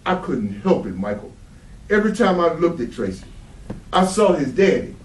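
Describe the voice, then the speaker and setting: A man performs a wounded, angry father in a theatrical reading. His high voice moves dramatically up and down, full of anguish and bitterness. The sound is roomy and distant. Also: big pitch swings, normal speed. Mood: bitter. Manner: dramatic, emotional delivery. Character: anguished bitter father crippled by lifelong anger. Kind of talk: stage readers theatre acting. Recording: roomy hall, distant microphone.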